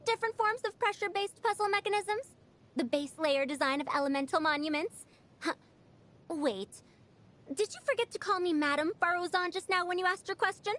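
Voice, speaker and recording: An actress playing a proud, bossy ancient scholar who sounds youthful, lecturing theatrically as a video game character. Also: female voice, extremely high pitch, brisk, a bit bright.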